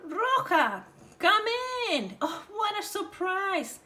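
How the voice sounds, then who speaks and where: A feisty old storybook grandma, put on by a woman, very high and theatrical. The words are stretched out slowly and swoop with exaggerated suspicion. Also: very animated, sharp tone, exaggerated voice. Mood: surprised welcome. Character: sick, sharp-witted old grandmother, feisty.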